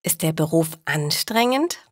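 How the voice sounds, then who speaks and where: An eager schoolgirl niece voiced by a female narrator in a learners' storybook dialogue. She puts her questions slowly and curiously, her pitch moving expressively. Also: sing-song, dry recording, studio quality, full character voice.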